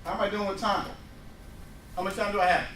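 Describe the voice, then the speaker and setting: A man in his forties leads a workshop for a live audience, weaving personal anecdotes and acting out the dialogue with animation. His voice sits noticeably high. The recording catches him from across a reverberant room, with a faint hum of ambience behind. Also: brisk. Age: 40s. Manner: animated storyteller who acts out dialogue. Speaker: workshop presenter. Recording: roomy room, voice picked up from a distance.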